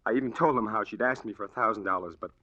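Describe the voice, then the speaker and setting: A man's voice: on the low side, very fast, bright, forceful tone, dry recording, lo-fi sound. An actor playing a desperate, obsessed man with earnest, confiding delivery in 1940s radio style. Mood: confiding, brooding. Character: desperate, obsessed man narrating his hunt for truth. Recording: old radio drama with music.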